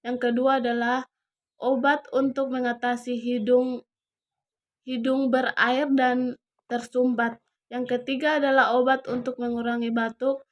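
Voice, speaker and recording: A woman's voice: fairly high pitch, flat delivery. A young woman, a nursing student, reading a health lesson and often stumbling and restarting words. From Indonesia. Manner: halting, frequent restarts on words.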